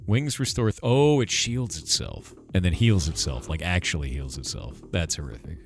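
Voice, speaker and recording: A man's voice: low voice, lively intonation, moderate pace. A man hosting a stream, chatting casually while playing a game and reacting aloud. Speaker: streamer host.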